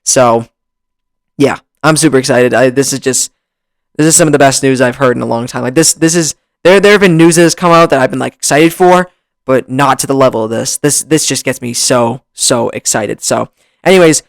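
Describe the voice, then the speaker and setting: A man hosting a casual vlog, talking excitedly with lots of restarts, his voice slightly hoarse from long recording, close on a desk mic. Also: medium pitch, brisk, dry recording. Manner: excitable, rambling with many restarts. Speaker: YouTuber. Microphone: desk mic, bumped at times.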